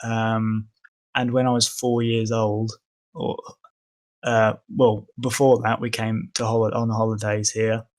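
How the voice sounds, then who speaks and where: A man's voice: fairly low pitch, little pitch movement, measured pace. A young man from England, a podcast guest, talking casually and hesitantly with many ums and restarts. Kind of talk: casual conversation.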